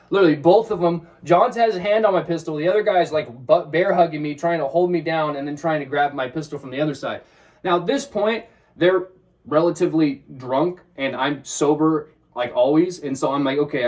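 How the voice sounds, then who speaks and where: A man with a high-pitched voice from Washington State, telling a story casually and agitatedly, swearing often and acting out what he said. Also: distant mic. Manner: agitated, swears often, acts out his own quotes. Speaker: vlogger.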